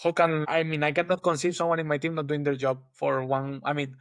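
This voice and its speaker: A man with a Spanish accent, a guest on an interview podcast, thinking aloud in a relaxed way with many restarts. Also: fairly high pitch, a little fast, no echo. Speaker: guest engineering manager. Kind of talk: casual interview chat.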